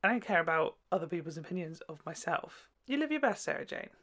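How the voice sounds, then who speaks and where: A woman hosting a video, giving casual, gushing and expressive commentary in a campy way. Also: fairly low pitch, quick pace. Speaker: YouTube host reviewing a children's TV show.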